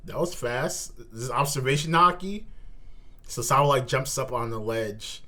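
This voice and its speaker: A male host doing a live reaction to manga. He reads dialogue aloud and adds his own commentary, moving between slower reading and animated exclamations at a middling pitch.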